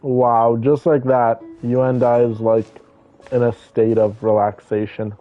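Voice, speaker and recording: A woman's voice: a bass voice, little pitch movement, slow pace, faint music behind. A woman casually reacting to a video game as a streamer. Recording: dull, noisy mic with clicks.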